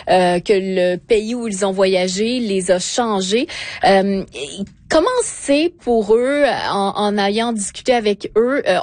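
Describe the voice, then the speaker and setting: A woman's voice: mid-range voice, a little mellow, dry recording. Woman radio host, dry studio sound. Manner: friendly, hesitant with fillers. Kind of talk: radio interview.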